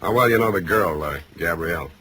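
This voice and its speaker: An actor playing a wry, hard-boiled American private detective in a 1940s radio drama. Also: male voice, low-pitched, brisk, bright, forceful tone, a little hiss or hum. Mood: dry, casual. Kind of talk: 1940s radio noir acting, first-person narration. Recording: vintage radio recording, music underneath.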